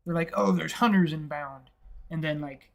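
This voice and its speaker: A man's voice: fairly high pitch, moderate pace, creaky, fairly bright tone. Rambling, profane man on a podcast. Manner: profane, rambling, laughs often. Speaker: podcast co-host. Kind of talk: casual film chat.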